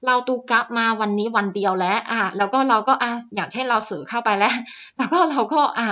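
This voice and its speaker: A woman's voice: a little high, fast, sharp tone, highs cut off. A woman walking through stock charts as a teacher, chatty, with lots of fillers and polite particles. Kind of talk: screen-share chart walkthrough. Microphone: voice-over on screen recording.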